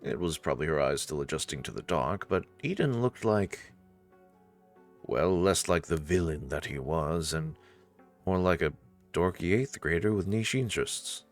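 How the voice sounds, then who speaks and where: Lively, low-pitched male game narrator. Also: normal speed, soft background music. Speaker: narrator of a visual novel playthrough. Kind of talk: expressive story narration with casual asides.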